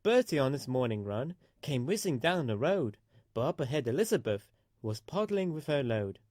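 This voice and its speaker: A man's voice: medium pitch, big pitch swings, moderate pace, a little mellow, dry recording, clean studio sound. A man reading a children's story aloud as scripted narration.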